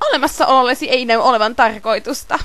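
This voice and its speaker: A woman's voice: a little high, fairly bright tone, no echo, faint music behind, close to an everyday voice. A woman playing a cold, taunting male villain scientist in an amateur game fandub, with a theatrical, ominous delivery.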